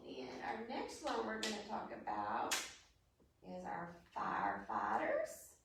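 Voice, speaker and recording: A woman's voice: lowish voice, wildly swooping pitch, slow, heavy background noise. A woman hosting a storytime for young children, explaining things in simple, demonstrative phrases as she talks and reads aloud. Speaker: library storytime host. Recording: echoey room, distant microphone.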